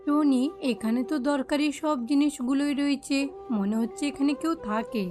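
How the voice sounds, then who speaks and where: A small, earnest cartoon bird acted by a woman with a high-pitched voice. The delivery is simple and heartfelt, in bedtime-fable style, with faint music behind. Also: moderate pace, close to an everyday voice. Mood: puzzled. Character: small birds of a fable, earnest, needy, grateful. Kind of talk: cartoon moral story acting.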